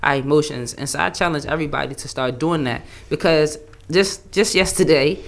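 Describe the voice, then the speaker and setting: A woman's voice: sits low, a little fast, vocal fry. A woman co-hosting a candid, casual group discussion, speaking emphatically with repeated words, slang and swearing. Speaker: podcast co-host.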